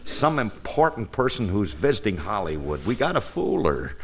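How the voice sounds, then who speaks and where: An actor playing a flustered, sarcastic husband with wisecracking delivery in an old-time radio comedy. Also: male voice, lowish voice, very animated, moderate pace, slightly rough, full character voice. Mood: worried. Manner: exasperated, wisecracking. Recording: noisy vintage radio broadcast.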